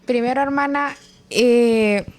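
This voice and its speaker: Una joven adulta con acento salvadoreño presenta un programa cristiano y hace preguntas con cortesía, entre pausas y muletillas. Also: female voice, a little high. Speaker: host.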